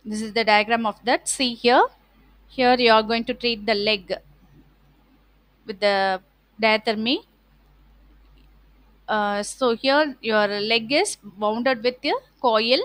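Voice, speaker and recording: Woman, South Indian accent, fairly high voice, online lecturer, halting repetitive explanations, dry close sound. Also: fairly even intonation, fairly bright tone, dry recording. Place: online video call with screen share.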